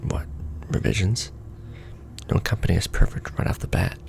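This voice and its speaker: A man playing a jaded, sardonic manager at a secret society in an ASMR roleplay, speaking in a dry, world-weary deadpan. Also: normal speed, noisy background, natural voice. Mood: weary, businesslike. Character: jaded, sardonic male Illuminati manager briefing a new recruit.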